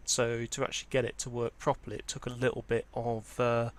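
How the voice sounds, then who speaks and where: A man with a medium-pitched voice presents a hobby video in an informal, unscripted style. He explains details step by step, with a hesitant delivery that often hedges as it goes. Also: level tone, fairly quick. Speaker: presenter of a vintage audio hobby channel. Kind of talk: informal product walkthrough, unscripted.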